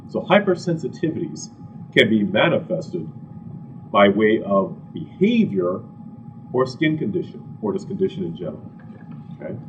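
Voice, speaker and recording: A man from Ghana giving an animated, conversational health lecture to a live audience, full of asides and rhetorical questions. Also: lowish voice, normal speed. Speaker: physician giving a health talk. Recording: distant, dull, slightly noisy room recording.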